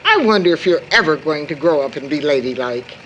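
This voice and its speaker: A woman's voice: low-pitched, expressive, fairly quick, fairly bright tone, dull audio, slight background noise. Proper, scolding mother, swooping intonation. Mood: fondly scolding. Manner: scolding, proper, precise phrasing. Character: tired, stern but fond middle-aged mother, a seamstress. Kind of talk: stage-style period audio drama acting.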